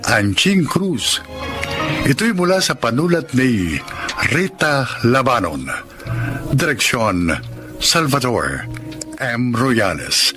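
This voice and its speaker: A Filipino man announcing a radio drama with solemn, measured, dramatic scripted narration. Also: medium pitch, very animated, music in the background.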